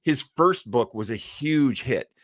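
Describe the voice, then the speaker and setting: A man's voice: mid-range voice, animated, takes it slowly, fairly bright tone, no echo. A man casually presenting as the host of a live show, chatting informally into a close mic. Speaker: host of an old-time radio show stream.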